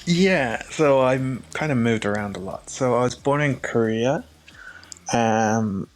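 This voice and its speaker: A man's voice: medium pitch, measured pace, rough recording. A young man who grew up in Ireland, a chef talking casually and modestly in an interview, with frequent fillers. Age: young adult. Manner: relaxed, modest, lots of "uh" and "kinda". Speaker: chef, guest.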